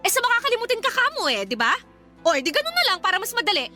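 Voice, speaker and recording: A fed-up young mother from a radio drama, with a Manila Tagalog accent. Her voice is very high and swoops animatedly as she scolds and mocks her partner. Faint music plays behind her. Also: wildly swooping pitch, racing, sharp tone, heavily acted. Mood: fed-up scolding. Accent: Filipino, Manila Tagalog. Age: young adult. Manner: scolding, sarcastic, emphatic. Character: exasperated 29-year-old mother nagging her messy live-in partner.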